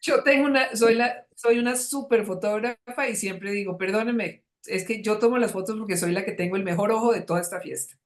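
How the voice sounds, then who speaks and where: A woman's voice: medium pitch, fairly quick, few pauses. A woman hosting a webinar, chatting in an encouraging, playful way with a participant. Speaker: webinar host. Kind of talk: warm conversational webinar talk.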